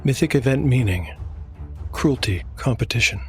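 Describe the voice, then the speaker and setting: A man hosting and narrating a scripted dark fantasy tale in a measured, solemn storytelling manner. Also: mid-range voice, animated. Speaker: host and narrator. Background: background music.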